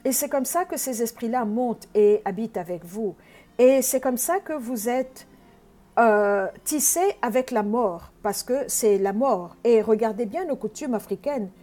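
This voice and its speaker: A woman's voice: a little high, lively intonation, a little slow, mellow. A woman from Congo preaching an earnest sermon, teaching with frequent hesitations.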